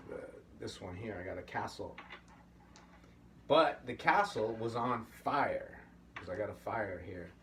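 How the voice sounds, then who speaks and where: A middle-aged man hosting a puppetry workshop, talking casually and thinking aloud as he goes. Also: mid-range voice. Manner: easygoing, thinks aloud with fillers. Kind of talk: casual instructional talk. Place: home workshop room. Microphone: camera mic at a distance. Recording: slightly distant, faint hiss.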